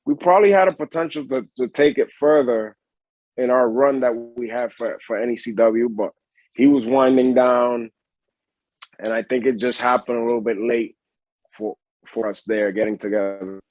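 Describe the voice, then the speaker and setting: A man with a Puerto Rican accent answers interview questions at an even middle pitch. He rambles, trips over his words and fills gaps with "uh". The sound is dry, with no room around it. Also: moderate pace, no room sound, poor audio. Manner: rambling, many restarts and fillers. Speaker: pro wrestler guest. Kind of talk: casual interview.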